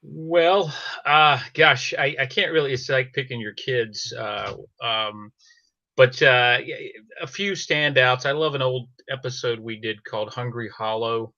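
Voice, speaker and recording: Halting middle-aged man, bright voice, muffled recording. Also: mid-range voice, dry recording. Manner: halting, hesitant. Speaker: audio drama podcaster. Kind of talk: casual chat.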